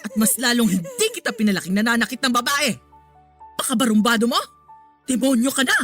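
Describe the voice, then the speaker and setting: A woman's voice: on the high side, big pitch swings, brisk, a bit bright, soft background music. An actress with a Filipino accent playing an elderly mother and grandmother, distressed and scolding in a radio drama. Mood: indignant, reproachful. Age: older.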